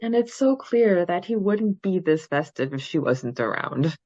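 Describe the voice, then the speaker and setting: Woman, fairly low voice, giggly podcast co-host, informal discussion, slightly lo-fi sound. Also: lively intonation, unhurried, mellow, no room sound. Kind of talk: casual book discussion chat.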